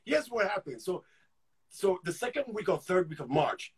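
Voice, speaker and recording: A man from Ghana, an actor, talking about his career in a relaxed interview, often restarting and repeating words as he thinks. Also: sits high, normal speed, crowd noise. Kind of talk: casual conversational storytelling.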